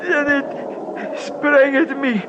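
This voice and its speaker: An actor playing a badly injured, deferential Himalayan guide, halting and weak with pain, in an old radio drama. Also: male voice, extremely high pitch, fairly even intonation, full character voice. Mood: pained, frightened. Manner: halting, broken phrases, formal pleading. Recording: muffled, noisy old radio recording.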